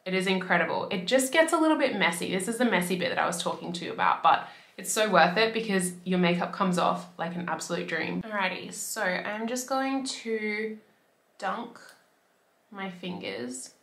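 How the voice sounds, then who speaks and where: Chatty young woman, slightly raspy. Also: medium pitch, lively intonation, normal speed, a bit bright, echoey room. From Australia. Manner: chatty, upbeat, fillers like "like". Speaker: beauty vlogger. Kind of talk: casual talk to camera. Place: bedroom by a window.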